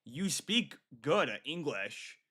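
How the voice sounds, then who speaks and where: An American man from Michigan chatting casually to his livestream viewers, halting and often repeating himself. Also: a little high, big pitch swings, bright, forceful tone, slightly rough. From Michigan, United States. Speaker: livestream host.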